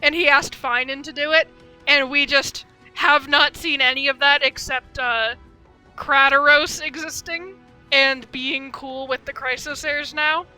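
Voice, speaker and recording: High, very bright young woman streamer. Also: fairly even intonation, measured pace, faint music behind, low-quality recording. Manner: chatty, rambling asides. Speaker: game streamer. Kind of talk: casual live game commentary.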